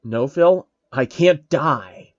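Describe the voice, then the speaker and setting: A man's voice: a little high, drawn-out words, dry recording, dull audio. Man putting on a pompous scheming game villain. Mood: defiant. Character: grandiose male game villain, scheming and theatrical.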